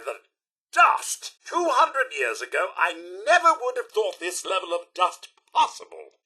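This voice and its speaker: A man's voice: sits high, sharp tone, breathy edge, full character voice. A man voicing a polite, fussy, anxious and loyal robot butler in a video game, with a British accent and a prim, formal, deferential manner. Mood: fussy disgust. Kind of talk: video game character voice lines.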